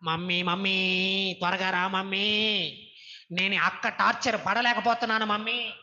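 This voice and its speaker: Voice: medium pitch, little pitch movement, unhurried, sharp tone. A performer voicing a whiny, put-upon little boy puppet who complains and pleads in exaggerated fashion. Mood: complaining. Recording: dull, reverberant hall sound.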